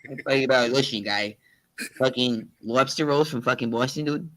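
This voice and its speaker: Slangy American man, medium pitch. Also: a little fast, a bit bright. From Rhode Island, US. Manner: slangy, sweary. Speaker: co-host of a football fan show. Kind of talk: casual chat.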